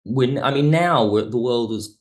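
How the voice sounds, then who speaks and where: A man speaking as a producer and guest in an interview, reflective, halting and rephrasing as he explains. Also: mid-range voice. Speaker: audio drama producer and writer, podcast guest. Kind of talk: reflective interview talk about production work.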